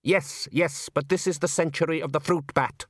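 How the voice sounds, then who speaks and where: A man's voice: on the high side, fairly even intonation, normal speed, no room sound, voice pushed up, natural voice. A man voicing a nasty, sardonic theatre manager with a dry, cutting manner in a storybook.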